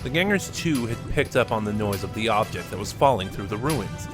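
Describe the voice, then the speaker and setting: Man reading out a fan audio drama, even tone, music playing clearly behind. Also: lowish voice, brisk. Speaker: narrator.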